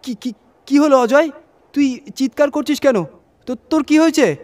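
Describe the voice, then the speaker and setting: A man's voice: very high voice, normal speed, darkish tone, voice pushed up. A man playing a confused, startled trucker's companion in a horror audio story, asking puzzled questions.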